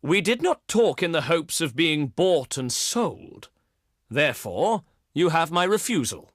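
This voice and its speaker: Pompous, theatrical ancient Greek diplomat. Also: male voice, fairly high pitch, sing-song, no echo, clean studio sound. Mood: smug, offended. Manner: pompous, courtly, measured with dramatic pauses. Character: haughty, wry ancient Greek diplomat, adult man. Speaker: voice actor in a strategy game. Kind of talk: theatrical scripted game dialogue.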